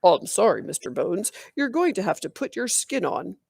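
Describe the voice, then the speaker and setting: A woman's voice: mid-range voice, very animated, moderate pace, vocal fry, a little mellow, breathy edge, no room sound, clean studio sound, exaggerated voice. A woman voicing an earnest, polite small-town male police officer in a storybook reading. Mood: apologetic, firm.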